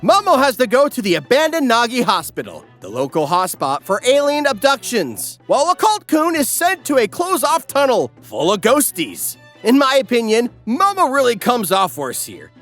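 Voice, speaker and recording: A man's voice: very high-pitched, huge pitch swings, moderate pace, hardly any pauses, a bit bright. A man reading a scripted comedic anime recap as narrator, sardonic and theatrical. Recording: music behind.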